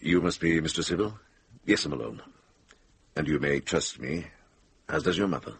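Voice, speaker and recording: An actor playing a shrewd, brisk middle-aged monk investigator in a radio drama, measured and direct. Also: male voice, rumbling low, expressive, vocal fry, husky, no room sound, heavily acted. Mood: earnest, urgent. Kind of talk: British radio drama acting.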